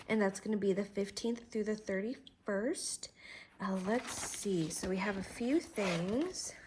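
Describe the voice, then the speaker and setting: A woman's voice: medium pitch, slight background noise. A woman vlogger talking through her budget while writing, chatty and thinking aloud, a little congested from a cold.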